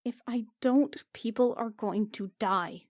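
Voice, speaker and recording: A woman's voice: fairly high pitch, a little slow, dry recording, studio quality. A woman playing an anxious, guilt-ridden, stubborn healer in an improvised tabletop game, arguing emphatically and swearing. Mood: desperate insistence. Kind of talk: in-character improvised tabletop roleplay.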